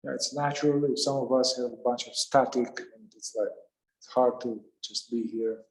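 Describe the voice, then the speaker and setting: A man leading a guided meditation, calm and unhurried, speaking in short phrases with long pauses between them. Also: mid-range voice, reverberant, poor audio.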